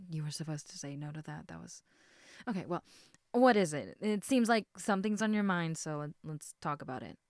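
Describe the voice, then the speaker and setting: A woman playing a caring, slightly snarky snake woman in an intimate audio roleplay, speaking in a soft, hesitant conversational murmur that dips into whispers. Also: fairly low pitch, dry recording, clean studio sound, natural voice. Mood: coaxing, puzzled.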